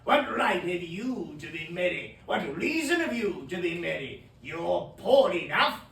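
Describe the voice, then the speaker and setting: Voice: mid-range voice, sing-song, slow pace, fairly bright tone, full character voice. A reader voicing a bitter, miserly old man who speaks in scornful, growling outbursts, in a roomy recording from a distant mic.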